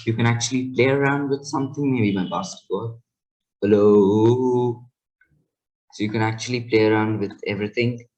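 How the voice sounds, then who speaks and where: A man giving a loose, rambling software tutorial and correcting himself as he goes. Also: medium pitch, steady tone, normal speed, poor audio. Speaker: tech channel host. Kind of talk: casual screen tutorial.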